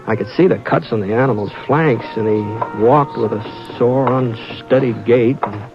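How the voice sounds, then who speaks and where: A calm, steady frontier rancher, played by a man narrates and talks in a soothing, unhurried drawl. The 1950s radio recording sounds dull and muffled, with faint music underneath. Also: mid-range voice, lightly acted. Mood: narrating. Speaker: narrator and lead. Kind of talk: 1950s radio drama acting. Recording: dull old radio broadcast.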